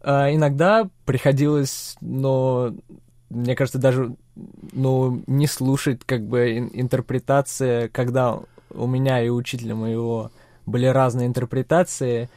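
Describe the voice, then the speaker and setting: A young man who grew up in Czechia answers interview questions in a measured, hesitant way with many fillers. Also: mid-range voice, level tone, darkish tone, dry recording, studio quality. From Czech Republic. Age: young adult.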